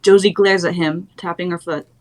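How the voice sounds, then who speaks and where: A young adult woman with a voice a little lower than most women's. She narrates a screenplay, reading out the action and scene headings as scripted stage directions. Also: fairly even intonation, moderate pace, a little mellow.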